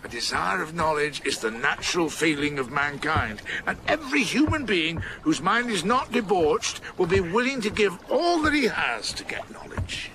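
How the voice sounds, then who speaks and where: A magisterial, learned man of letters in a period radio drama. His highish voice swings widely as he delivers teasing, sententious verdicts with great emphasis. There is clear background noise behind him. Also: big pitch swings, moderate pace, hardly any pauses. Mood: expansive, approving. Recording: noisy ambience behind the voice.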